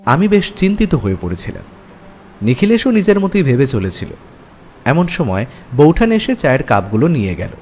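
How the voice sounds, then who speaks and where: A man's voice: on the high side, huge pitch swings, moderate pace, darkish tone, slight background noise. A man giving a scripted first-person narration of a story.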